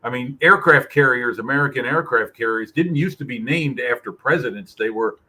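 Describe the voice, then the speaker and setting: A man's voice: medium pitch, normal speed, pushed and bright, slightly rough, low-quality recording. A man chatting on a casual live stream call, friendly and full of questions, greeting others as they join. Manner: friendly, asks questions, greets people joining.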